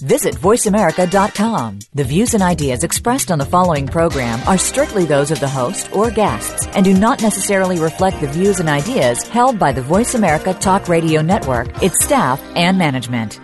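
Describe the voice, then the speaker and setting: A woman's voice: on the low side, sing-song, fairly quick, few pauses. A woman reading a formal, scripted network announcement and disclaimer. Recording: announcement over clear music.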